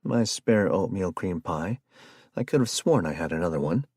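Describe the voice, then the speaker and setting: A man voicing an excitable, geeky young man who rambles in a high, theatrical voice. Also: normal speed, husky, dry recording, studio quality, heavily acted. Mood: rambling.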